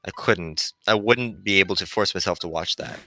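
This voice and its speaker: A man voicing a gentle, melancholy female shuttle AI, earnestly explaining as it fades in a visual novel. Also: deep voice, normal speed, soft background music. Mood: resigned, tender.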